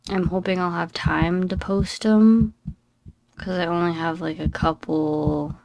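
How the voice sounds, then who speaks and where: A young woman chatting through a game session in a slow, unhurried drawl, her voice a little scratchy from just waking up. She sits somewhat low in pitch and sounds dry and close. She rambles, apologizes and pokes fun at herself. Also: fairly even intonation, vocal fry, darkish tone, no echo. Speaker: gaming channel host. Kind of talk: casual gameplay commentary.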